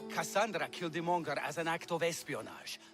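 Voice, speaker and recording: An actor playing an excitable, opinionated banquet guest in a video game. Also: male voice, fairly high pitch, brisk, over music, lightly acted. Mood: eager. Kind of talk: game cutscene dialogue.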